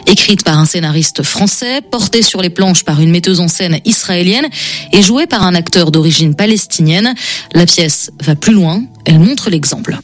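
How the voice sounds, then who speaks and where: A woman's voice: fairly low pitch, expressive, moderate pace. A woman culture reporter reading a scripted radio report in a composed way.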